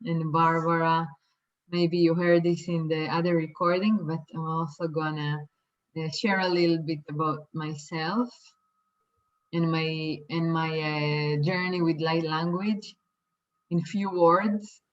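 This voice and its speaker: Israeli woman teacher, talks real slow and kinda hesitant, lowish voice, dull lo-fi sound. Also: little pitch movement. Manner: hesitant, many fillers and restarts. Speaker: light language teacher. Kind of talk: slow, soft-spoken workshop introduction.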